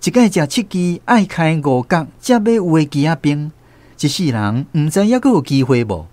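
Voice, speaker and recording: A male actor with a Taiwanese accent playing a grown man who recounts his boyhood in an animated radio drama narration. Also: a little high, normal speed, dry recording. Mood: wry recounting. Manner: animated first-person storytelling. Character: grown man fondly recounting his small-boy adventures. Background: plucked guitar music.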